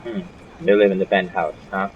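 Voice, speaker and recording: A man's voice: sits low, level tone, moderate pace, slight background noise. A man giving casual, rambling commentary as he plays through a game, often muttering to himself. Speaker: gaming streamer. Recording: slightly dull mic, game music behind.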